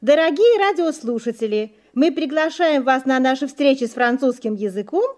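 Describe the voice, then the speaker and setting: A woman hosting a scripted bilingual radio language lesson as guide and interpreter, formal and deliberate, repeating phrases. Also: sits high, lively intonation, a little mellow.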